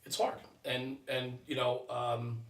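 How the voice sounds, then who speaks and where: A man speaking in a halting, earnest way in a conversational podcast discussion, repeating himself as he goes. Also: mid-range voice, level tone, creaky, a bit bright. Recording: roomy echo, distant microphone.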